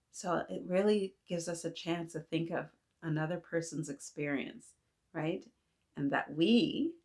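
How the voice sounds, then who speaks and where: A woman, reading a children's book aloud and gently pausing to talk with young listeners. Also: lowish voice. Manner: gentle, asks kids to reflect, frequent "right?". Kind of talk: read-aloud storytelling for kids. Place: indoors, small room with painted mural.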